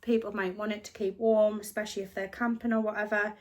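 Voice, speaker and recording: A woman's voice: mid-range voice, flat delivery, fast talker. A woman with a British accent chatting casually in a vlog about her thrift finds, a little snuffly from a chest infection, rambling and correcting herself as she goes. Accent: British English. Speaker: reselling vlogger.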